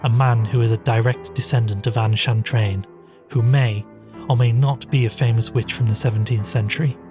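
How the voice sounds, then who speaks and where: Audio drama: weary male sceptic investigator, played by a man, mid pitch, measured delivery, light music underneath. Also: fairly even intonation, dull audio, natural voice. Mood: recapping. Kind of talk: audio drama dialogue and narration.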